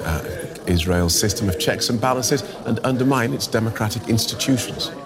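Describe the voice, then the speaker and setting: A man reading a scripted news bulletin as a newsreader. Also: fairly low pitch. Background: music bed.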